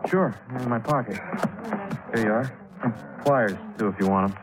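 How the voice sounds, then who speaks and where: An American actor playing a polite, unassuming studio technician who is evasive, in a 1950s radio drama. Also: male voice, medium pitch, moderate pace, over loud music, lightly acted, low-quality recording. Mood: uneasy, evasive. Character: deferential male studio technician hiding his guilt. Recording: vintage 1950s radio broadcast recording.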